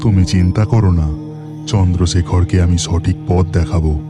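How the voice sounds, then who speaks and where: A man's voice: sits low, fairly even intonation, normal speed, loud music behind the voice, natural voice. A man voicing a stern, righteous god in a cartoon, with a solemn, admonishing, declamatory manner.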